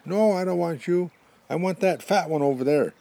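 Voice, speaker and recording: A man's voice: medium pitch, sing-song, normal speed, warm tone, husky, voice pushed up. A man voicing a small, determined and crafty porcupine who hollers insistently in an oral animal folktale. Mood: insistent, hollering.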